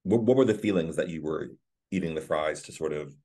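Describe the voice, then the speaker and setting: A man hosting an interview podcast who asks halting, conversational questions with restarts. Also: extremely low pitch, dark tone. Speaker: podcast host.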